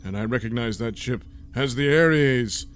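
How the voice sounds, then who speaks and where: A man's voice: medium pitch, unhurried, smooth, lightly acted. A man playing a pompous, genteel, self-assured resistance member, speaking formally in a comic sci-fi audio serial. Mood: smug.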